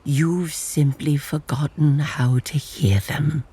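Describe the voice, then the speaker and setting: An actor voicing a gentle, wise tanuki elder officiating a wedding in a motion comic, speaking in a measured, reverent storytelling manner with a husky voice. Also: medium pitch, fairly even intonation. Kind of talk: dramatic motion comic voice acting.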